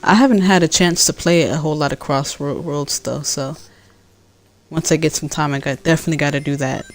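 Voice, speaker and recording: A woman from California with a deep voice, chatting casually as she plays a game and answers her chat, rambling with many fillers and restarts. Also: brisk, soft background music. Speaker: gaming streamer.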